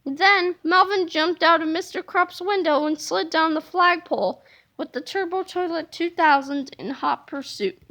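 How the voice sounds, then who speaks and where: Very high, bright woman reading aloud. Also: unhurried. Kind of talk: expressive children's book narration.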